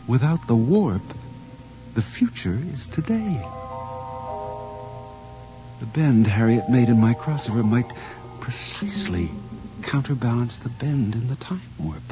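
An actor playing an obsessive, excitable radio tinkerer who mutters to himself and stammers when agitated, in a radio drama. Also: male voice, mid-range voice, unhurried, pauses often, music in the background. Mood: excited realization. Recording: dull audio with faint hum.

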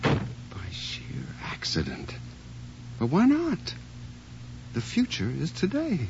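Excitable, obsessive man, muffled recording. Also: fairly high pitch, slow pace, gravelly, full character voice. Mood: excited realization. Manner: muttering to himself, stammering when agitated. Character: obsessive, excitable married man tinkering with shortwave radio. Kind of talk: radio drama acting. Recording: dull audio with faint hum.

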